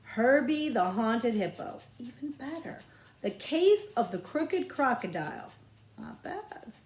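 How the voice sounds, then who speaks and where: A woman reading a children's novel aloud as a librarian, with expressive, measured storytelling phrasing. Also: fairly high pitch, reverberant.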